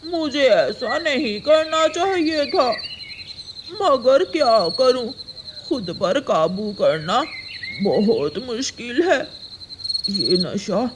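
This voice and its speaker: Someone acting out a slow, remorseful cartoon crow husband. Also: mid-range voice, big pitch swings. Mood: regretful. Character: Kalu, remorseful addicted male crow husband and father. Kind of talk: acted dialogue in Hindi moral cartoon story. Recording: clearly noisy, nature ambience behind.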